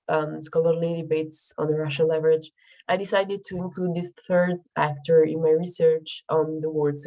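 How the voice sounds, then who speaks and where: A woman's voice: low voice, mellow, rough recording. A young woman presenting her research, her voice affected by a cold, speaking carefully with frequent ums and restarts. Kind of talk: academic presentation.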